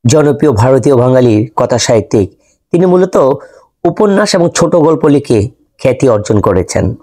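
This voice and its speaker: A man's voice: medium pitch, sing-song, moderate pace, mellow. A man reading scripted narration as the host of an audio story.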